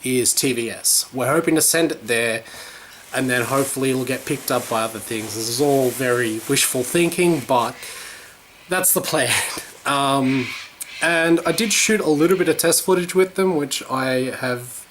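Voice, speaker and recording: A man's voice: mid-range voice, normal speed, fairly bright tone. A man talks casually to his camera at home. He rambles, restarts, swears a lot and jokes at his own expense. A faint background hiss sits under his voice. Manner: rambling, sweary, self-mocking. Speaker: vlogger. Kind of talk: casual vlog chat.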